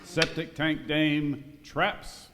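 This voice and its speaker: A man narrating an amateur radio skit from a script in dramatic, drawn-out announcer fashion. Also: on the high side, level tone. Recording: noisy reverberant hall, live recording.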